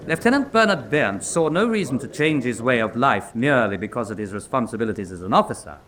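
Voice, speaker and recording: Naval prosecutor, radio drama, precise, oratorical, disapproving, mid-pitched, lively intonation. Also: male voice, fast. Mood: scornful. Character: scornful military prosecutor, formal naval captain.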